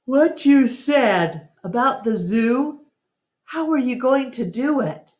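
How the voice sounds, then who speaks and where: A woman's voice: high voice, expressive, slow pace, a little mellow, voice pushed up. A woman voicing a small, sleepy, matter-of-fact male dog in a children's storybook. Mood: sleepy, confident. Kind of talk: children's storybook read-aloud.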